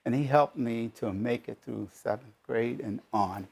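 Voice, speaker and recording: A man's voice: medium pitch, unhurried, gravelly, husky. A man in his seventies, a plain-spoken interview guest sharing personal experience. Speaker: retired volunteer tutor, interview guest.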